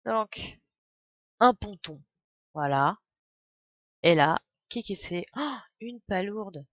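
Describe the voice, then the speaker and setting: Female game streamer, mid-pitched, slightly bunged up with a cold, chatty running commentary, muffled dry recording. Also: expressive, slow, no echo. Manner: thinks aloud, chatty asides to viewers. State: has a cold. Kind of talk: casual live game commentary. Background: faint game music.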